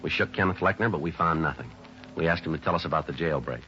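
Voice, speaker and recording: A man playing a terse, businesslike police detective and narrator in a 1950s radio drama, deadpan and matter-of-fact. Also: extremely low pitch, fast talker, soft background music. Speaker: narrator and lead actor. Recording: dull old 1950s radio recording.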